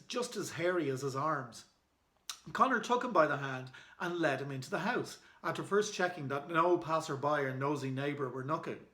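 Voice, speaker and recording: A man's voice: fairly high pitch, pushed and bright. An Irish man reading aloud in a children's storytime style, as an author and illustrator. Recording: echoey room.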